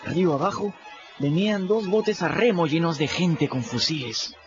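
A man with a Peruvian accent narrating a story in the first person in an expressive, storytelling manner. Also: a little high, moderate pace. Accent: Peruvian Spanish. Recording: dull audio with music underneath.

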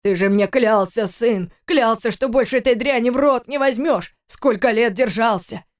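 Audiobook drama figure: a stern older father acted out by a woman, grieving and angry. The delivery is forceful and reproachful, pressing a grown son with pointed questions. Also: sits high, brisk, natural voice. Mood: angry, demanding.

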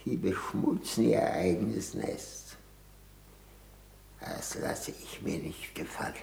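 Creaky, declamatory old cardinal. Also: male voice, low voice, huge pitch swings, darkish tone, slight background noise, full character voice. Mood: accusing. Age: older. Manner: declamatory, halting, pompous. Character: very old, indignant, grandiose cardinal, half-blind. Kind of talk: 1960s German radio play acting. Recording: roomy echoing 1960s radio studio.

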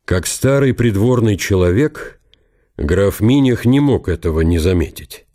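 Low, mellow male narrator, very animated. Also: measured pace. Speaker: narrator in a radio drama. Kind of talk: dramatised literary narration.

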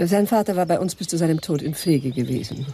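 An actress playing a genteel sanatorium owner in a German radio crime drama, polite, hesitant and evasive. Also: female voice, deep voice, expressive, a little fast, warm tone, a little hiss or hum. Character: genteel, guarded lady owner of a private sanatorium.

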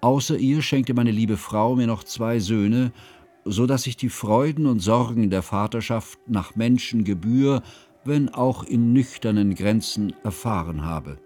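An actor playing an ageing scholarly schoolmaster who narrates a radio drama in a measured, pedantic, ornate way. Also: male voice, medium pitch, mellow, lightly acted. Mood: reflective, confessional. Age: older. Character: ageing scholarly schoolmaster, humane, ceremonious, reflective. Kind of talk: literary first-person radio drama narration.

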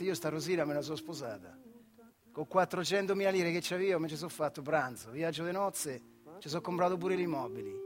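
An actor playing a proud, stubborn factory worker, telling his life in a colloquial central Italian dialect, animated and full of rhetorical questions. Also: fairly high pitch, natural voice. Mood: reminiscing. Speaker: actor-narrator. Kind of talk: theatrical storytelling monologue for radio.

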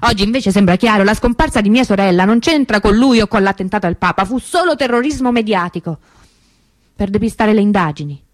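An actress playing the grieving, bitter sister of a kidnapped girl in a radio monologue, measured and emphatic. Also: medium pitch, lively intonation, dry recording, lightly acted. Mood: bitter, resolute.

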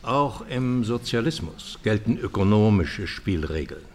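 An older man playing a charming, bold professor emeritus in a German radio play, speaking in a measured, lecturing, ironic way. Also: on the low side, lively intonation, darkish tone.

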